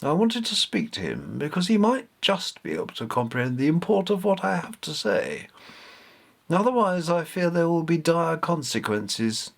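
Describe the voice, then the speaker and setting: An imperious bronze cat goddess from a storybook, played by a male reader. She is immortal, contemptuous and used to being obeyed. Her delivery is expressive, with wide swings in pitch. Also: moderate pace, creaky, exaggerated voice. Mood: grave, commanding. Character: haughty immortal female cat god, giant bronze statue beast. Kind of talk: storybook character voice.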